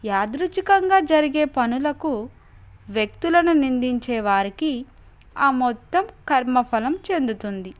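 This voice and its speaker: A woman's voice: sits high, lively intonation, measured pace. A woman voicing a stern male god of death who hands down a judgment, in a cartoon story. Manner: stern, commanding.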